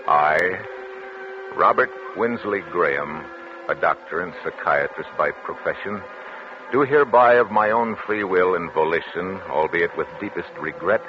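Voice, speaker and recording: An actor playing a troubled, solemn doctor in a formal, deliberate 1940s radio drama style. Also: male voice, sits low. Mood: grave, haunted. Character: troubled, solemn male doctor and psychiatrist narrating his confession. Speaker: actor, narrator and lead. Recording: dull, noisy 1940s radio recording.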